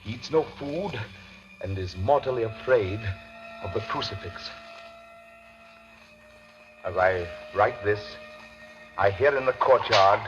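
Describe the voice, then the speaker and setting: An actor playing an anxious, imprisoned Englishman who recounts his diary gravely and fearfully in a 1930s radio drama. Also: medium pitch, natural voice. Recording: dull 1930s radio recording.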